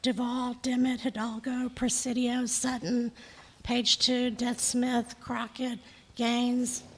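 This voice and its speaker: A middle-aged woman with a hoarse voice, presenting to a county meeting as an insurance sales representative and chatting with friendly joking asides. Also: on the high side, level tone, slow. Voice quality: husky. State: hoarse, losing her voice. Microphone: desk microphone.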